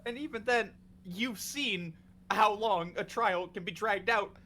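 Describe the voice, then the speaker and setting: A man's voice: sits high, unhurried, a little hiss or hum. A man chatting casually during a group game dub, wry and argumentative. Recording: loud music behind the voice.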